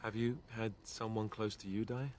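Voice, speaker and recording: A young male fantasy game warrior, voiced by an actor, earnest and quietly self-doubting. His voice sits very low. He sounds subdued and sincere. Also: level tone, moderate pace, slight background noise, natural voice.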